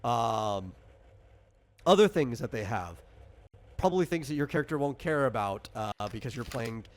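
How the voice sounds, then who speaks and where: Male game master, mid-pitched, casual and explanatory, talking through tabletop options with some hesitation. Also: expressive, moderate pace. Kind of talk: casual tabletop character-creation session.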